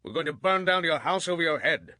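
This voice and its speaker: Fast, sharp, hot-headed tribesman. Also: a little high, expressive, dry recording, clean studio sound. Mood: angry. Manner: accusing, aggressive. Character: hot-headed, threatening man of Ephraim, aggrieved tribesman. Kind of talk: dramatized audio Bible acting.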